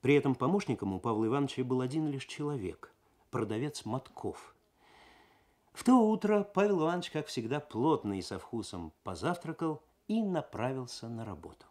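Expressive male radio story narrator. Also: mid-range voice, fairly quick. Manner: expressive, actorly, suspenseful. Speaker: narrator, reader. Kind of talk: dramatic literary narration, 1970s Soviet radio.

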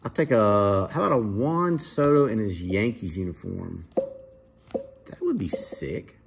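Slow, low-voiced man opening cards. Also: gravelly, slight background noise. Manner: relaxed, unhurried, chatty asides to viewers. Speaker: card breaker, channel host. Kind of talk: casual card-opening commentary. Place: indoors at a dining table in a home.

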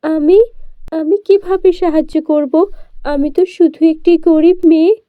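A woman's voice: extremely high pitch, fairly even intonation, a little slow, no room sound, phone-quality sound, voice pushed up. A woman voicing a poor little orphan girl, timid and forlorn, with a plaintive, hesitant manner in a cartoon. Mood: timid doubt.

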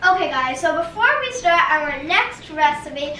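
A woman's voice: sits high, expressive, measured pace, distant mic. A young girl hosting a cooking vlog at home, chatty and a bit bossy, keeping things moving. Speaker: host. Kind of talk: casual kitchen vlog chat. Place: home kitchen. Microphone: camera across the counter. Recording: echoey kitchen, from the camera, music behind.

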